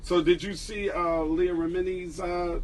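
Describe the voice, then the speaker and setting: A man's voice: high voice, flat delivery, measured pace, distant mic, slight background noise. A man hosting a radio show, asking upbeat, probing questions of his guest. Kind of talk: radio interview. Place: studio.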